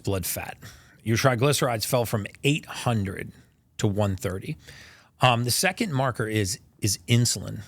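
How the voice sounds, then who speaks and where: Low-voiced guy hosting a podcast, earnest and emphatic, dry mic. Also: dry recording. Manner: earnest, explains health figures emphatically. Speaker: podcast host. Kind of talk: interview hosting.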